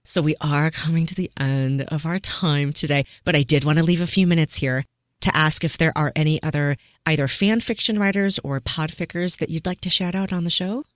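A woman's voice: sits low, brisk, dry recording, studio quality. Effusive woman podcast host. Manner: warm, effusive hosting, "so exciting". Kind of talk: podcast interview hosting.

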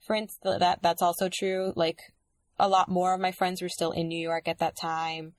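A young woman speaking reflectively in casual conversation, with many pauses and restarts. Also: fairly low pitch, level tone, no room sound. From Dominican Republic.

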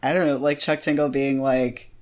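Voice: mid-range voice, fairly even intonation, moderate pace. A podcast co-host in a casual book discussion, talking in an earnest, opinionated way and saying "like" a lot. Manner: earnest, opinionated, heavy use of "like".

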